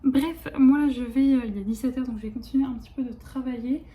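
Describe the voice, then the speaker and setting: A woman's voice: sits high, quick pace, warm tone, a little hiss or hum. A woman in her late twenties chatting casually in a vlog, rambling with many fillers and restarts, recorded on a phone. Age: late 20s. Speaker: vlogger.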